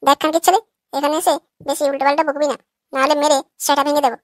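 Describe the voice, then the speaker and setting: Performer as a shrill, scolding old grandmother. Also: little pitch movement, racing, no room sound, studio quality, natural voice. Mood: angry. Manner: sharp, nagging, crude. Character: foul-mouthed scolding old village grandmother.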